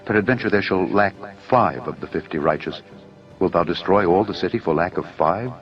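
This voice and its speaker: A man's voice: sits low, a little fast, close to an everyday voice. A man playing a humble ancient patriarch in a dramatised scripture reading, pleading in a formal, deferential way. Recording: dull narrow audio over music.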